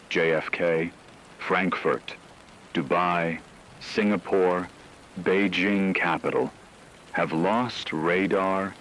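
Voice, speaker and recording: A man playing a grave, formal radio news anchor, reading an emergency broadcast in a measured, authoritative way. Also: sits low, gravelly, fairly bright tone, slight background noise, full character voice, rough recording. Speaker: news announcer.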